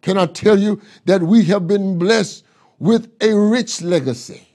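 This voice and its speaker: Preacher guy, high voice, slow and emphatic, repeats lines and asks questions, lapel mic in his study. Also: darkish tone. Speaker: pastor. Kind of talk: sermon. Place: pastor's office with bookshelves, seated at desk.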